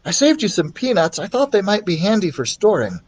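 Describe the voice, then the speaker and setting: A man's voice: high voice, big pitch swings, brisk, breathy edge, pitched above the performer's own voice, exaggerated voice. A man voicing a kind young boy who feels ill, speaking in a listless, subdued way for a storybook. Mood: weary, apologetic.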